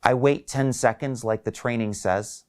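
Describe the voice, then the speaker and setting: A man's voice: medium pitch, steady tone, a little slow, smooth. A man reading his own short story as a scripted first-person narration.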